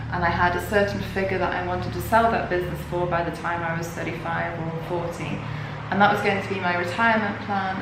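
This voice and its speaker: A woman's voice: fairly low pitch, gravelly. A middle-aged woman, a guest in a casual interview, telling her life story in an open and reflective way. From Denmark. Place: indoors at a yoga retreat. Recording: echoey room with background noise.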